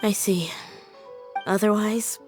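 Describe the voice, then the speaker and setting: A woman playing a soft-spoken, wistful young woman in a video game, longing for a loved one, hesitant and sorrowful. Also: medium pitch, fairly even intonation, slow pace, soft background music, close to an everyday voice. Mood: sad, resigned. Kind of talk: scripted video game voice acting.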